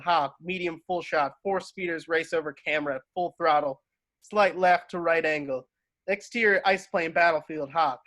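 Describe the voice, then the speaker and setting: Bright, dry-sounding man narrating a script. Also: a little high, no echo. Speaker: narrator of stage directions.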